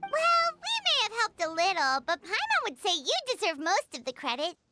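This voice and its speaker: A woman voicing a small, excitable floating female companion who talks about herself in the third person. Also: very high voice, moderate pace, over loud music, exaggerated voice. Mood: excited. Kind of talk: video game voice acting.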